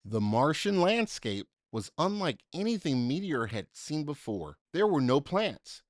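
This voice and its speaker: A man reading a children's story aloud in an expressive, dramatic way, with deliberate emphasis for young listeners. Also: a little high, no room sound, clean studio sound. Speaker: storybook reader.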